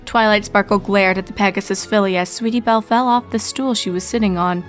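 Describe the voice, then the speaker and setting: A woman reading a fan story aloud as narrator, with steady storytelling narration. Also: mid-range voice, brisk, faint music behind. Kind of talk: fanfic audiobook reading.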